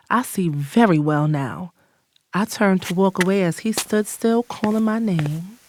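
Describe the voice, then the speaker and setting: A woman's voice: medium pitch, slow, background noise, lightly acted. A woman playing a hurt, scorned lover in an audio drama, telling it in first person with emphatic, sarcastic delivery. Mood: bitter, stunned. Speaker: narrator and lead character in an audio drama.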